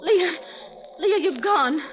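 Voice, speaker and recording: A woman's voice: very high voice, expressive, slow, exaggerated voice. An actress playing a frightened woman who has just gone snowblind, in 1940s radio acting. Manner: frightened, stammering, pleading. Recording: lo-fi old radio recording.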